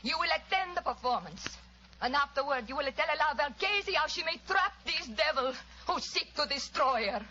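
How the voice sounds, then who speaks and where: A woman's voice: high voice, animated, normal speed, pushed and bright. A woman playing an imperious, scheming opera singer in a 1940s radio drama. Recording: muffled, hissy old-time radio recording.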